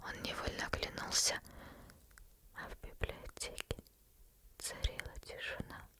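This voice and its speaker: A woman whispering as a sly demon storyteller. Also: lightly acted. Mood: hushed. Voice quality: soft unvoiced whisper throughout. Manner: slow, teasing, intimate address to the listener. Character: teasing female demon storyteller, sly and soothing. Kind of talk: whispered ASMR bedtime story. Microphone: close sensitive ASMR microphone.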